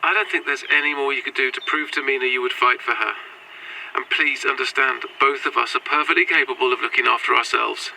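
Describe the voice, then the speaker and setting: A woman's voice: very deep voice, fairly even intonation, a little fast, creaky, pushed and bright, exaggerated voice. A slightly distant, noisy outdoor recording carries an independent young woman. She speaks plainly and casually, with a blunt streak, in a naturalistic found-footage drama. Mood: gentle, apologetic. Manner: casual, hesitant starts, blunt.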